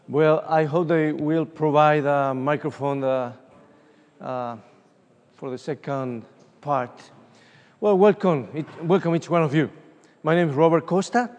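A middle-aged man from Uruguay with a Spanish accent, preaching as an evangelist at a conference and telling personal stories with many fillers. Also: on the high side. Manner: animated, storytelling, frequent fillers. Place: large conference hall. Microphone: room microphone from a distance. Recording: distant voice with long hall reverb.

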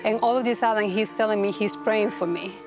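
A woman from Rica, a guest telling her story in a halting, earnest way with many restarts. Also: medium pitch, over music. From Costa Rica. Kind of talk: personal testimony interview.

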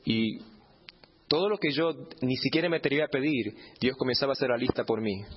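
A man's voice: medium pitch, a little fast, breathy edge, dull audio. A man gives a personal testimony as humorous storytelling, playfully acting out bits of dialogue. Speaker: church testimony speaker.

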